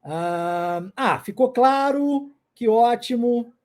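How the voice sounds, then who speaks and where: A man's voice: very high-pitched, animated, extremely slow, a little mellow. A middle-aged man who grew up in the United States and whose first language is English, teaching an online lesson live and checking in often with viewers.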